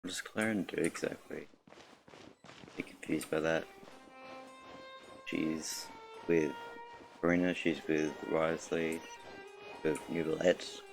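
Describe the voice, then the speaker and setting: Very deep, gravelly male streamer. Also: steady tone, slow, pauses often, over music. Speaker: game streamer. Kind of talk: casual livestream commentary.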